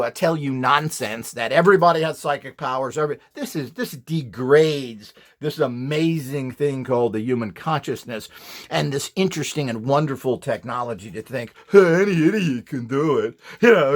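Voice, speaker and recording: A man's voice: a little high, normal speed. A man giving an informal, rambling lecture as an instructor, in a blunt, opinionated way with sarcastic asides. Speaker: instructor presenting a build tutorial.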